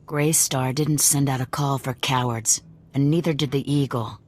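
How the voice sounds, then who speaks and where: Hard-bitten female resistance lieutenant, very low pitch, sardonic, challenging game dialogue. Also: steady tone, moderate pace, darkish tone. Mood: scornful. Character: tough, distrustful female rebel enforcer with cybernetics. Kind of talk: video game dialogue acting.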